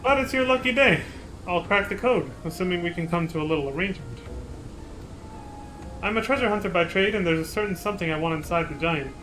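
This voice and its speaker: A man voicing a smooth-talking male treasure hunter with an attempted Italian mobster accent, bargaining persuasively. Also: high-pitched, expressive, fast talker, a bit bright, soft background music, rough recording. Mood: scheming.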